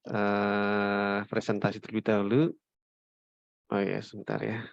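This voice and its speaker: Pria presenter bersuara agak rendah, tersendat-sendat. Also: male voice, fairly low pitch, no echo. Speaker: company presenter. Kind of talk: semi-formal product presentation. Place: online webinar call. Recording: dull, lo-fi online call audio.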